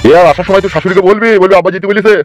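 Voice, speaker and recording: A man's voice: sits high, steady tone, rapid-fire, faint music behind, natural voice. A man voicing a genial, fussy middle-aged village father in a comedy cartoon, chatty and insistent.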